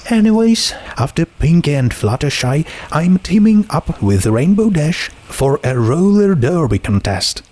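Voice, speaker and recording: A man's voice: fairly high pitch, sing-song, a little slow, few pauses, darkish tone, pitched above the performer's own voice. A man voicing a friendly, homesick teenage girl in a storybook, talking in a casual, chatty way. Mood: cheerful, hurried.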